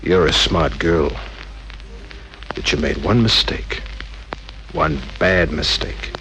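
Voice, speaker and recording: A man's voice: rumbling low, slow pace, pushed and bright, exaggerated voice, poor audio. A man playing a cool, shrewd private detective in an old-time radio drama, with a wry, terse tough-guy delivery. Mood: cool, ruthless. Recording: old radio recording with faint hiss.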